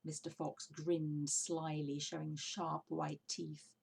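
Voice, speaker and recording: Husky woman reading a children's story. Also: sits low, little pitch movement, takes it slowly, a little mellow. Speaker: storybook reader. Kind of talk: expressive children's book narration.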